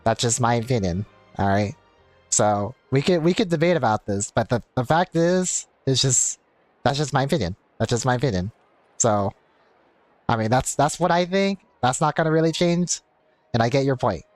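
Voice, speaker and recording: Casual male game streamer, mid-pitched. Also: expressive, normal speed, no echo. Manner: repetitive, explanatory. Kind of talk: casual livestream chat over gameplay. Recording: game music behind voice.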